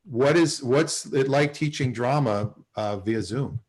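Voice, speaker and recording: Middle-aged man, medium pitch, casual host, asking questions, video call. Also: unhurried. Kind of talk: casual chat. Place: indoors on a group video call.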